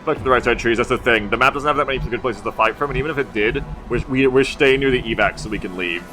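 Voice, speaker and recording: A man's voice: mid-range voice, fast talker, bright, forceful tone. A man live streaming a video game, thinking aloud in a wry, rambling, sweary way. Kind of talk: casual live gameplay commentary. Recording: music and game sound behind the voice. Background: tactical video game audio and music.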